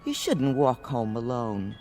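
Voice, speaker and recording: An actress playing a friendly, cautious nightclub co-worker in a radio play, chatty and concerned. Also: female voice, rumbling low, very animated, a little slow, mellow, soft background music, full character voice.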